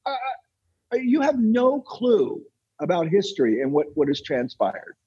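A man's voice: sits high, big pitch swings, measured pace, warm tone, husky, highs cut off. An American man giving opinionated interview answers as a guest, insistent and emphatic, repeating phrases for effect. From United States. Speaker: podcast guest, entrepreneur and author.

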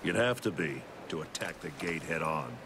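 An actor playing a cold, taunting military commander in a video game, speaking with measured authority. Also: male voice, a bass voice, animated, very noisy. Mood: cold menace. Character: cold menacing military commander interrogating a prisoner. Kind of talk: dramatic video game acting.

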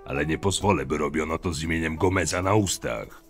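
Man acting Torus, a very deep, stern guard chief. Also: brisk, faint music behind. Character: Torus, stern, loyal, level-headed male chief of camp guards. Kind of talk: acted dialogue, fan audio drama.

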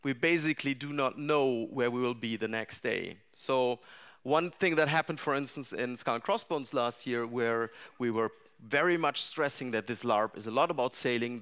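A middle-aged man speaks to a seated audience in a dark seminar room, his voice at an ordinary middle pitch. He picks his way through each thought, restarting phrases and filling pauses with "uh" and "um" as he goes. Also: clean tone. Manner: thoughtful, frequent restarts and fillers. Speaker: larp designer giving a talk. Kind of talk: conference talk to a seated audience.

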